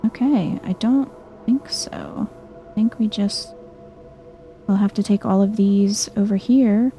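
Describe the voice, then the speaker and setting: Woman, soft-spoken, mellow dark tone, creaky fry, unhurried, chill game commentary, music behind. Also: mid-range voice. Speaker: gaming video host.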